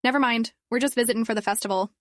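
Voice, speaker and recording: A woman's voice: on the high side, steady tone, racing, no room sound, clean studio sound, close to an everyday voice. Woman voicing a chipper country imp woman. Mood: cheerful. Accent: rural Southern US.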